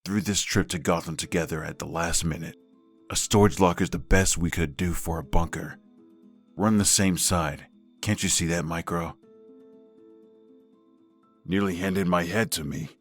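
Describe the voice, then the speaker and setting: A man's voice: deep voice, fairly even intonation, normal speed, pauses often, soft background music. A man voicing a hard-bitten, grim vigilante in a comic dub, speaking tersely and wearily. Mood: frustrated. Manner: terse, grim, world-weary. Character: hard-bitten male gun-toting vigilante ex-soldier type. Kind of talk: comic dub dramatic narration.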